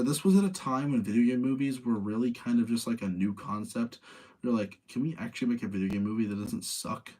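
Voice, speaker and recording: Male host, mid-pitched with some huskiness, conversational and opinionated, speaking informally as if chatting with his audience. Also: warm tone, poor audio. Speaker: host of a live ranking show about video game movies. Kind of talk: casual chat, giving opinions and replying to listeners.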